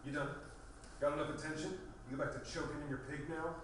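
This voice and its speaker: A man acting in a table read as a gruff, irritable executive who is curt and impatient. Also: on the high side, little pitch movement, fast, natural voice. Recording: echoey room, distant mic, noisy.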